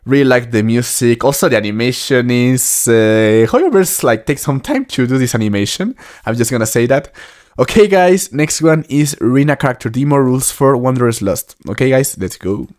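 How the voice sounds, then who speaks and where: A man's voice: mid-range voice, animated, normal speed, darkish tone. A man in his twenties, a native Spanish speaker, giving casual reaction commentary in English as a video host. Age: 20s. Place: small home room. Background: trailer music.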